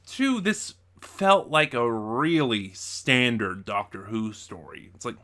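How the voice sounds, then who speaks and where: A man's voice: mid-range voice, lively intonation, takes it slowly, pushed and bright. A man hosting a casual review video, speaking in a conversational, opinionated way straight to the audience. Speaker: video reviewer and channel host.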